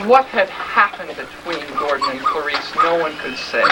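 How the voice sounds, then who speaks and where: A man's voice: fairly high pitch, big pitch swings, moderate pace. A man narrating a children's story in a mock-serious, melodramatic theatrical style. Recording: distant, very noisy live stage recording.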